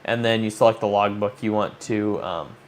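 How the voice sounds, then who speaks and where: A man's voice: lowish voice, normal speed. A man hosting a hobby livestream, talking informally and thinking aloud as he walks viewers through a demo. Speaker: livestream host, amateur radio operator. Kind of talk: casual livestream chat, walking through a demo. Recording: noticeable background noise.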